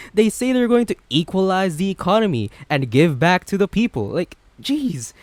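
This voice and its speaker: A man's voice: high voice, no room sound. A man playing a smug, flirty businessman supervillain, chatty and sardonic, in a romantic roleplay audio drama. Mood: exasperated ranting. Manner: chatty, sardonic, self-assured.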